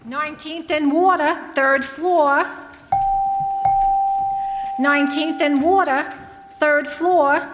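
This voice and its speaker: A woman playing an ambulance dispatcher in a radio drama, calling out announcements in a repetitive, drawn-out way. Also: high-pitched, fairly even intonation. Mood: official. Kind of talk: old-time radio drama acting. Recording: echoey hall, loud noise behind.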